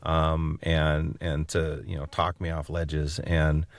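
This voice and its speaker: A man with a deep voice co-hosts a relaxed conversational show. He speaks thoughtfully in halting phrases, recorded very dry. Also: gravelly, no echo. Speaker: podcast co-host, interviewer. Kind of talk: casual chat.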